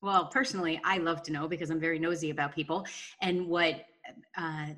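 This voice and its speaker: A woman's voice: low voice, steady tone, fairly quick, a bit bright. A woman podcast host speaking in an informal, chatty way as she introduces and interviews guests.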